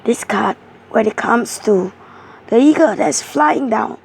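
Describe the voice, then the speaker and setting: A woman with a hoarse, strained voice slowly explaining tarot cards. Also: a little high, expressive, a little mellow, a little hiss or hum. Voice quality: rough, strained voice. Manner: slow, halting, repetitive explaining. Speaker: tarot card reader.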